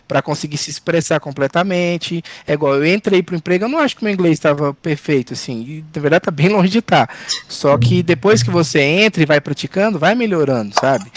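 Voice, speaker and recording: Brazilian male guest, slightly high voice, dry close mic, relaxed friendly advice, chatty. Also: dry recording. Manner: relaxed, friendly advice with many "sabe?" tags. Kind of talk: casual interview chat.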